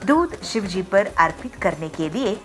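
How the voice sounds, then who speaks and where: A woman's voice: medium pitch, very animated, measured pace, a bit bright, faint music behind. A woman narrating a scripted children's moral story as a storyteller.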